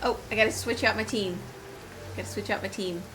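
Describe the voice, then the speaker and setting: A woman's voice: mid-range voice, steady tone, a little fast, noisy background. A woman doing casual live gameplay commentary, chatting to herself and her viewers as she plays. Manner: chatty, talks to herself and viewers while playing. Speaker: game streamer. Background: game music.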